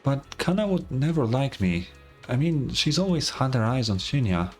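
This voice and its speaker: A man's voice: mid-range voice, very animated, normal speed, husky, faint music behind. Man acting as a shy, stammering sick boy. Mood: downcast, hesitant. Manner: hesitant, stammering. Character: shy, insecure sick boy, easily flustered.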